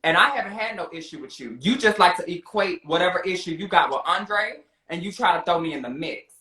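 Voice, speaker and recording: A man's voice: sits high, lively intonation, brisk, bright, forceful tone. An American man chatting confrontationally, sassy, slangy and defensive, recorded with a roomy echo. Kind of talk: casual confrontational chat.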